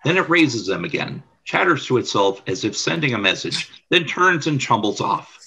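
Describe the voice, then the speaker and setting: Husky, animated male narrator. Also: medium pitch, moderate pace, rough recording. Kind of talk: scripted radio play narration.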